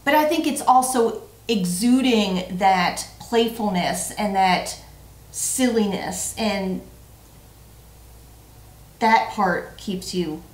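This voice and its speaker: A woman's voice: medium pitch, slow. A woman in her forties chatting casually as a vlog co-host, playful and emphatic, often repeating her words. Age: 40s. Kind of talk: casual chat, answering tag questions. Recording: roomy, echoey sound.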